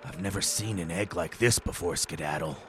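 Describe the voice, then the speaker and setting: An actor playing a reckless, deadpan rabbit special agent in a radio film parody. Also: male voice, low-pitched, brisk, soft background music. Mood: deadpan, stubborn.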